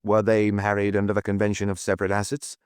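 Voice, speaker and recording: A man plays a calm male chief inspector in an audiobook. His voice is on the low side and very dry. He questions in a measured, courteous way, quietly persistent and probing. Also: smooth, no room sound, close to an everyday voice. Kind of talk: audiobook character dialogue.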